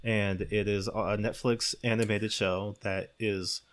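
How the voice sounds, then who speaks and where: Podcast host guy, lower voice, chatty, just recommending stuff. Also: little pitch movement, slow, fairly bright tone. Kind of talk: casual podcast chat, giving recommendations.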